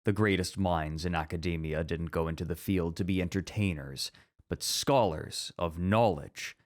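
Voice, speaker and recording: A man playing a measured, traditionalist literature teacher in a scripted ASMR roleplay, speaking formally and with careful reasoning. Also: low voice, close to an everyday voice. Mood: debating, reserved.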